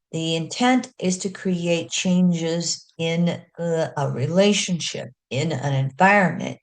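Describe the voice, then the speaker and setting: A middle-aged woman teaching astrology in an earnest, folksy way with self-deprecating asides. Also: lowish voice. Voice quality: loud speaking voice. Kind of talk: teaching astrology talk with slides.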